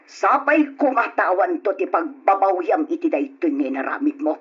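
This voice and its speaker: Husky, reproachful mother. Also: fairly low pitch, very animated, normal speed, exaggerated voice. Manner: earnest, reproving. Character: reproachful, protective mother standing up to her husband. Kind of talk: Ilocano radio drama acting. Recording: dull lo-fi old radio recording.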